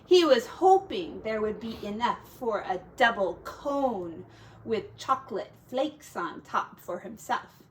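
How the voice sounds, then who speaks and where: Lady teacher reading a kids story, slow n expressive, high voice, bit of noise behind. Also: low-quality recording. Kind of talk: expressive children's storybook narration.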